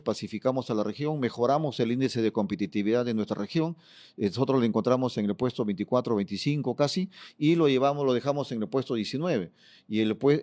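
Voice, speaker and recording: Peruvian guy, middle-aged, talks things through slow with lots of "eh", mid pitch. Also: dark tone. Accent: Peruvian Spanish. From Peru. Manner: explanatory, fond of "fíjate" and "eh" fillers. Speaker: politician, former regional governor. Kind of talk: interview answers.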